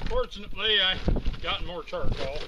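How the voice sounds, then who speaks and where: An older man hosting his own cooking video, narrating in a slow, plainspoken way while he grills in a snowy rural yard. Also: high voice, lively intonation. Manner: slow, plainspoken, talking to himself as he works. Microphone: camera on a tripod some distance away. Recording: outdoor camera audio with loud wind and yard noise.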